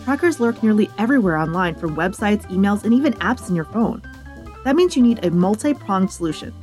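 A woman's voice: mid-range voice, lively intonation, brisk, a little mellow. A woman announcer delivering a scripted promotional read. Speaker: announcer and presenter. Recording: music behind the voice.

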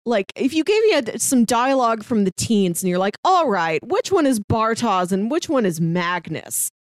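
A woman co-hosting a podcast, casually discussing a TV series in an animated, opinionated way. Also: on the high side, normal speed, dry recording. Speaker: podcast co-host.

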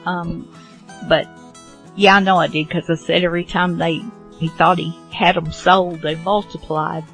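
A woman hosting a radio show with a casual, halting, rambling and apologetic introduction. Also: fairly low pitch, steady tone, a bit bright, faint music behind, low-quality recording. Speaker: host of old radio drama show.

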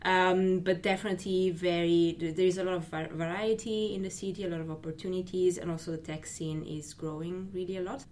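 A woman's voice: lowish voice, fairly even intonation, darkish tone, slight background noise. A woman from Italy, a creative recruiter, speaking thoughtfully in a casual interview. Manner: thoughtful, measured, with fillers.

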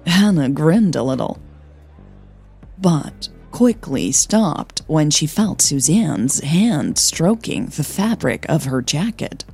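Female storyteller, gravelly edge, unhurried and deliberate, faint music behind. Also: sits low, sing-song, darkish tone. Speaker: podcast host and narrator. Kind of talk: audio fiction narration.

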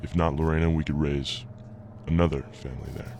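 An actor playing an obsessive visionary inventor and devoted husband in an audio drama, with a grave, measured delivery and a gravelly voice over a noisy recording. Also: male voice, a bass voice, level tone, a little mellow. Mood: fervent. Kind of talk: audio drama acting, partly recorded log narration. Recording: noisy amateur podcast recording.

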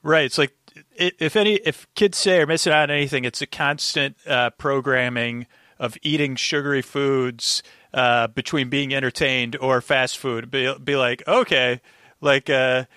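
A man talks casually as a podcast guest. His voice is bright and crisp-edged, recorded very dry. He rambles in stops and starts, often repeating a word before finding his way on. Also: medium pitch, steady tone, no echo. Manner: rambling, halting, stutters over words, circles back. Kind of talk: casual conversation, recapping an old radio show.

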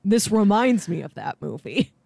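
A woman's voice: mid-range voice, big pitch swings, normal speed, gravelly, a little mellow, no echo. A woman co-hosting a casual film podcast, explaining things in an earnest, conversational way. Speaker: podcast co-host.

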